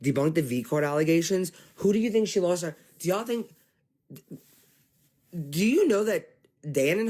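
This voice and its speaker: A man giving casual livestream commentary, exasperated and animated, talking straight to his viewers. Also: a little high. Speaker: commentary streamer.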